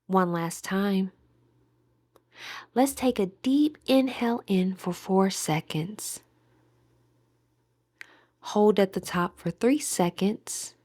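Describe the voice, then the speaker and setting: A woman guiding a meditation in a soothing, deliberate manner with gentle pauses. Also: mid-range voice, no room sound. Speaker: meditation guide. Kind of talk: guided meditation narration.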